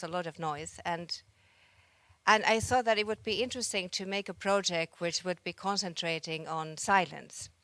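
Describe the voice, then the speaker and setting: Finnish woman, artist at a conference, lowish smooth voice, thoughtful and halting. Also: a bit bright, no room sound. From Finland. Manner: reflective, searching for words with frequent restarts. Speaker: visual artist giving a conference talk. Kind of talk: conference presentation. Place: conference hall stage.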